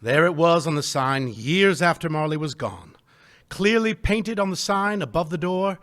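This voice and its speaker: A man narrating a live radio play with deliberate, emphatic storytelling. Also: fairly high pitch, lively intonation, a bit bright.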